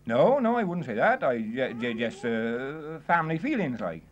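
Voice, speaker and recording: A man's voice: medium pitch, sing-song, normal speed. A middle-aged man, a pub landlord, answers hesitantly and diplomatically, often stumbling over his words. The old film sound is dull and lo-fi, with faint hiss. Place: inside a village pub bar.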